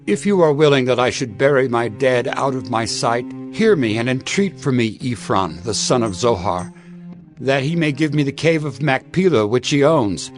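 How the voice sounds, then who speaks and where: An actor playing an aged, devout patriarch in a dramatized scripture recording, with a creaky voice and solemn, earnest delivery. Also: male voice, mid-range voice, animated, faint music behind, natural voice. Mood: earnest, courteous. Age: older. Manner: slow, weighty, pleading delivery. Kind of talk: dramatized scripture audio acting.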